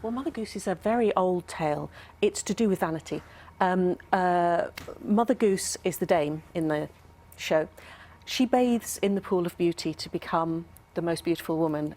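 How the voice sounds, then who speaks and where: A woman's voice: fairly low pitch, normal speed, darkish tone. A woman speaking as an expert in a studio interview, explaining things thoughtfully with some hesitation. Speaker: academic expert guest, professor.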